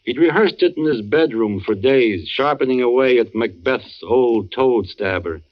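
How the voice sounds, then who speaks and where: An actor playing an earnest, somber man, a knowing family friend, with measured dramatic delivery in 1940s radio style. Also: mid-range voice, expressive, lightly acted. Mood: grave. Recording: muffled old radio recording.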